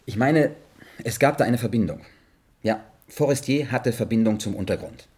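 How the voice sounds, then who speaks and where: An actor playing a troubled, earnest investigator in a German science fiction radio drama, with a husky voice. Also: male voice, mid-range voice, moderate pace, a little mellow, lightly acted. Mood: earnest, intense. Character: troubled, restless male investigator, earnest and insistent.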